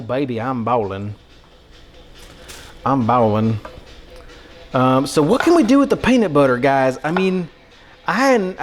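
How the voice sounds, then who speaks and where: A man putting on an American Southern country accent as a goofy, excitable countryman, rambling casually to a livestream chat in a folksy drawl. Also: a little high, slight background noise, lightly acted. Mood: excited, goofy. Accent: put-on American country, Southern. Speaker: live streamer cooking for chat.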